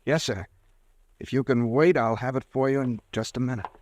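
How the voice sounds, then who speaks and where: A man's voice: mid-range voice, a little mellow, no room sound, clean studio sound, lightly acted. A man playing a nervous young pharmacist caught up in a scheme, in a 1940s radio drama. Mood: guarded. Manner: tense, jittery, fast when scheming.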